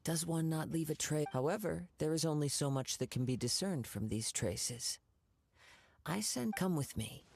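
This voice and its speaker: A woman's voice: deep voice, vocal fry, a little mellow, husky, exaggerated voice. A woman voicing a courteous, composed and inquisitive martial artist in a video game, speaking with formal, old-fashioned politeness. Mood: knowing. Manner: formal, archaic, measured politeness. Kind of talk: video game character dialogue.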